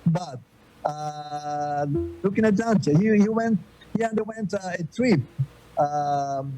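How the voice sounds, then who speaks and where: An older man from Florence with a Florentine Italian accent, answering interview questions with many pauses and restarts. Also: fairly high pitch, over music, low-quality recording. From Florence, Italy. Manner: hesitant, many fillers and restarts. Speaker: guest.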